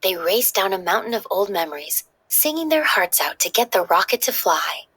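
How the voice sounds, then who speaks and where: Animated woman storybook narrator, slightly husky. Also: medium pitch, normal speed. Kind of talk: expressive read-aloud narration.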